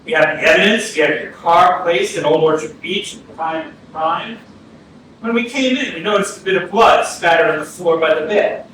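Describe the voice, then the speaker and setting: Man playing a stern seasoned detective, fairly high male voice, profane, deliberate, noisy recording. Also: fairly bright tone. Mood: stern, threatening. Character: blunt, seasoned male police detective pressing a suspect. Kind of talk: stage acting in a staged reading. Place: indoor arts centre stage, staged reading. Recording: echoey theatre from far off, noisy.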